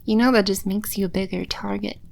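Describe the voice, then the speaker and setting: A woman playing a haughty, scornful female demon in a scripted audio roleplay, condescending and sardonic, with breathy edges to her voice. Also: mid-range voice, steady tone, a little fast, background noise.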